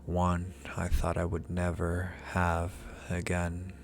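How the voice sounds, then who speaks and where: Ancient male dragon, performed by a man, ASMR roleplay. Extremely unhurried, even-toned, condescending yet intimate, with formal, archaic phrasing. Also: sits low, faint music behind. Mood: wistful, pensive. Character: ancient male dragon familiar, powerful, possessive, amused, seductive.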